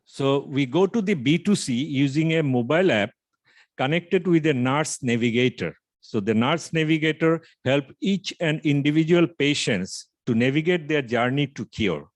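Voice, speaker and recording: A man in his fifties from Bangladesh, speaking, gives a measured, explanatory startup pitch over a video call with frequent filler pauses. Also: lively intonation, no room sound. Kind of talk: online pitch presentation.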